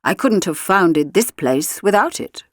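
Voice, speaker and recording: A woman voicing an intense middle-aged male doctor who owns a clinic, deliberate, earnest and emphatic in an audiobook story. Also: on the low side, lively intonation, dry recording, studio quality. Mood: earnest, proud.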